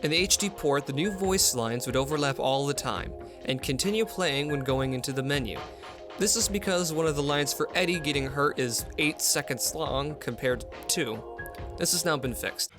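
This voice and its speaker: A man presenting a software update video in scripted explainer narration. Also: on the high side, normal speed. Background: music.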